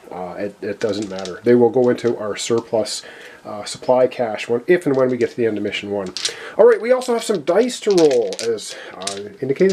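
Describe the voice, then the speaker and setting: A man's voice: mid-range voice, dark tone, a little hiss or hum. A man giving a casual board game tutorial, talking viewers through the setup step by step in an explanatory way. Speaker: board game player and presenter. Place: indoors at a gaming table.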